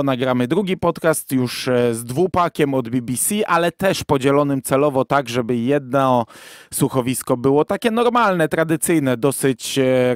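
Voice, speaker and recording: A man hosting a podcast in a casual, conversational way, often pausing to think aloud. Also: mid-range voice, smooth, dry recording, studio quality. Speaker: podcast host.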